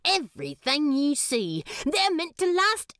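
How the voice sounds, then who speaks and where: A cheeky, blunt male demon in a fanfiction audiobook, as a woman narrator puts him on. He talks slowly and casually, with a very lively lilt, in a dry close recording. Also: high-pitched, no room sound, voice pushed up, heavily acted. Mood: breezy. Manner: breezy, slangy, blunt.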